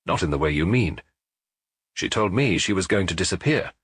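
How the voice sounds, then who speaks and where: A man voicing a middle-aged French industrialist, proud but distraught, giving halting, defensive answers in a wheezing voice in an audiobook detective story. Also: low voice, expressive, fairly bright tone, slightly rough, no echo, studio quality, full character voice.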